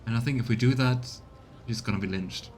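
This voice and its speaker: A man's voice: mid-range voice, brisk, soft background music. A man casually commentating on a video game, musing aloud and chatting with his viewers as he plays. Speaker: streamer doing a voiced let's play.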